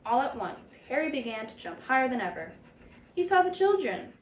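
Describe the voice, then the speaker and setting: A woman reading a children's picture book aloud in an expressive, dramatic storytelling manner. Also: high voice, a little fast. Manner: animated, dramatic read-aloud delivery. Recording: roomy echo, background noise.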